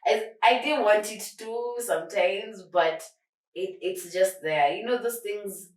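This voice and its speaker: A young adult woman co-hosting a casual chat podcast, animated and rambling, laughing often. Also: mid-range voice, a little slow. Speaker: podcast co-host. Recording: echoey room, far from mic.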